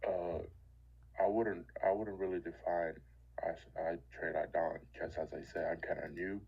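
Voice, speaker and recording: A Dominican man with a deep, gravelly and slightly husky voice, with Spanish as his first language. He chats casually on a voice call, stopping and restarting his sentences as he searches for words. The call audio is muffled. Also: flat delivery. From Dominican Republic. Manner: halting, restarts often. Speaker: caller. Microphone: voice chat call.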